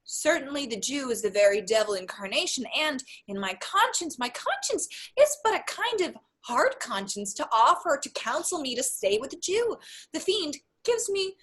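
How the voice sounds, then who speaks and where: A mischievous young servant clown, played by a woman, high-voiced and swinging widely in pitch. He dithers and teases with halting, playful animation, as in a stage comedy read aloud. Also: very animated, fairly bright tone. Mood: dithering. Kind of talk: theatrical Shakespeare reading.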